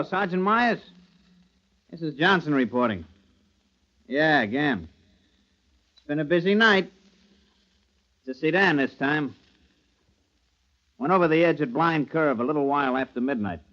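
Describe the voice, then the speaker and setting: A patrolman phoning his sergeant in a vintage radio thriller. The voice is fairly high and very expressive, colloquial and matter-of-fact. The recording is somewhat dull. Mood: businesslike, weary. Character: weary patrolman reporting an eerie case to his sergeant. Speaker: police officer. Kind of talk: old-time radio drama acting, phone report.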